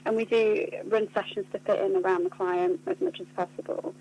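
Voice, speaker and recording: Woman doing a radio interview, pretty level pitch, earnest and articulate, bit of hum on the line. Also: fairly even intonation, fairly quick, darkish tone, muffled recording. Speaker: charity communications officer being interviewed. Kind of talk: spoken radio interview answers.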